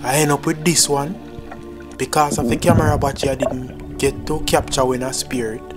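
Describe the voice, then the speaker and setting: A man, calmly narrating his own spearfishing footage in a plain, matter-of-fact voice-over. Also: mid-range voice, normal speed. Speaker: spearfishing vlogger. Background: loud new-age music.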